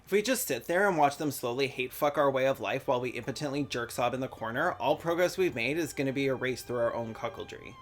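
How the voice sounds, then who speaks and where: A man's voice: medium pitch, animated, fast talker, few pauses. A young man delivering a scripted video essay, reading quotes and dropping sarcastic, profane jokes. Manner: sardonic, profane asides.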